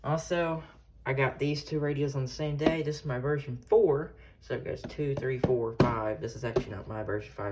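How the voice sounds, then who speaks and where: A man's voice: medium pitch, creaky. A man giving a casual, unscripted show-and-tell of his collection, rambling offhand and trailing off. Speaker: collector showing off a weather radio collection. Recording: noisy amateur recording with radios.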